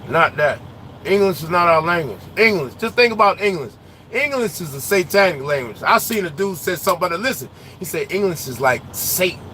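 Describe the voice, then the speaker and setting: High, bright-voiced trucker ranting loudly. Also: male voice, fairly quick, slight background noise. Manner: loud, animated, slangy trash talk. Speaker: truck driver vlogger. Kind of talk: casual ranting chat while driving. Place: inside a truck cab on the road.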